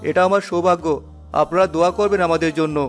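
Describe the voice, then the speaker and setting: Male actor as earnest middle-aged dad in an animated tale, measured, higher-pitched, music underneath. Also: close to an everyday voice. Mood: humble, sincere. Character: wealthy, kindly father of a young girl. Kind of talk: scripted cartoon dialogue. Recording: music behind the voice.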